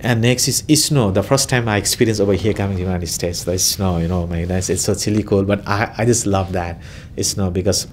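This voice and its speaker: A man's voice: deep voice, fairly quick, slight background noise. A man in his thirties with a Nepali accent, answering interview questions in a hesitant way, with many fillers and restarts.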